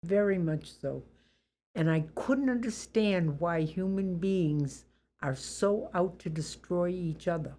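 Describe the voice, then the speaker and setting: An elderly woman with a Boston accent, reflecting on her life in an interview in a deliberate, plain-spoken, good-humored way. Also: on the low side, mellow. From Boston area, USA.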